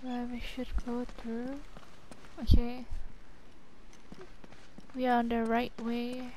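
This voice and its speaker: A woman's voice: a little high, completely flat delivery, takes it slowly, mellow, a little hiss or hum, low-quality recording. A woman hosting a gaming video, casually commenting on her play in short remarks to herself. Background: game music and sounds.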